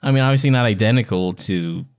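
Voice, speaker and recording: A man's voice: fairly low pitch, quick pace, darkish tone. A man co-hosting a podcast in casual chat, with a joking, teasing manner. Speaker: podcast co-host.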